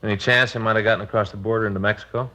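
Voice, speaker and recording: An actor playing a laconic, inquisitive American police officer, a sergeant's partner, in a 1950s radio crime drama. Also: male voice, lowish voice, steady tone, racing, bright, forceful tone. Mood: inquiring. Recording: dull vintage radio recording.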